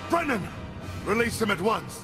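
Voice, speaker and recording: A man acting a haughty, pompous zealot crime lord in a video game, speaking in formal, measured phrases. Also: high-pitched, sing-song, creaky, exaggerated voice. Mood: agitated. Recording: music behind the voice. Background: game score music.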